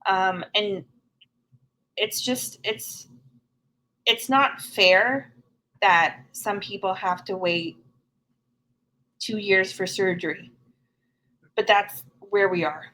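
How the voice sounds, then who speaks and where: Woman guest, middle-aged, frank and earnest, unhurried delivery. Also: medium pitch, pushed and bright, poor audio. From Canada. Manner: earnest, frank and plain-spoken. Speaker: podcast guest. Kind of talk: candid conversational interview.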